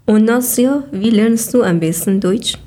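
Woman reading slowly. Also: fairly high pitch, mellow. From Afghanistan. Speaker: podcast co-host. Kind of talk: prepared podcast answers.

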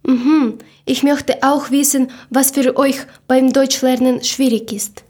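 A woman's voice: high-pitched, fairly even intonation, slow, darkish tone, husky. A woman from Ukraine, hosting a podcast and carefully reading her lines from a script. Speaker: podcast host.

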